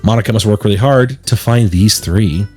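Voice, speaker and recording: A man's voice: fairly low pitch, normal speed, husky, faint music behind. A man voicing a curious, slightly unsure teenage schoolboy in a visual novel, casual and questioning. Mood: musing. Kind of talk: reading visual novel dialogue and inner thoughts.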